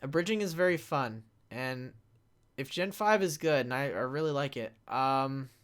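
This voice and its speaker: A young man chatting casually and off the cuff as a panelist, haltingly reading out chat questions and answering them. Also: medium pitch, fairly bright tone, clear, resonant tone.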